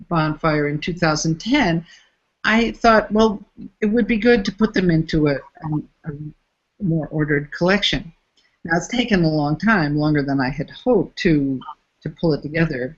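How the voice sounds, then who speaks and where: A woman's voice: fairly low pitch, breathy edge. Thoughtful woman, muffled recording. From United States. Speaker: interviewee, facilitator. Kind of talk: reflective interview talk.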